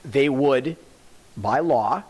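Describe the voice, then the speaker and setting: A man's voice: mid-range voice, expressive, darkish tone, slightly rough. Male teacher explaining a lesson. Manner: plain, unhurried classroom explaining, repeating key points. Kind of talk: reading a textbook aloud with explanations.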